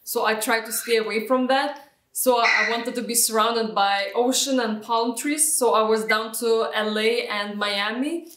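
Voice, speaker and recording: A woman with a Lithuanian accent talks through a video call, her voice fairly high and sounding distant. The room around her sounds echoey. She speaks in a chatty way, often pausing with fillers and restarting her sentences. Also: a bit bright. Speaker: guest, real estate agent. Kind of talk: casual interview answers.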